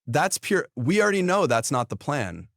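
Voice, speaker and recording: A man's voice: a little high, sing-song, normal speed, gravelly, dry recording, studio quality. Blunt, mocking man commentator. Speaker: online commentary host. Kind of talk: opinionated gossip commentary.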